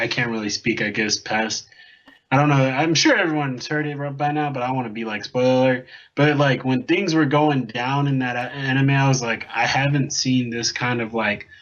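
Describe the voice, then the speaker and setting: A man's voice: medium pitch, fairly bright tone. Enthusiastic young man on a dull mic. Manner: enthusiastic, swears casually. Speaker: podcast co-host. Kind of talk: casual chat.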